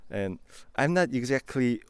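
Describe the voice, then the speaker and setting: A man's voice: medium pitch, expressive, slow, smooth. A young man from South Korea speaking English with a Korean accent, thoughtful and hesitant as he answers casually. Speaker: interviewee.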